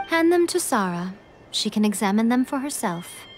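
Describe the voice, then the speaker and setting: A woman's voice: on the high side, sing-song, normal speed, faint music behind. A woman voicing a sly, elegant fox shrine maiden in a video game, poised, knowing and teasing. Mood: pleased, sly.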